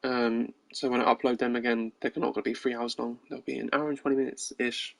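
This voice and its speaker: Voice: medium pitch, little pitch movement, fast talker, vocal fry, dry recording. Casual game streamer with a tired voice. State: tired, strained voice. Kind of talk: casual livestream sign-off.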